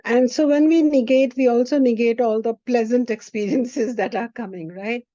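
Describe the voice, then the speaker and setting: A woman whose first language is Hindi, teaching a spiritual class in an unhurried way and often checking in with the listener. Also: on the high side, fairly even intonation, mellow, dry recording. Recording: dull lo-fi recording.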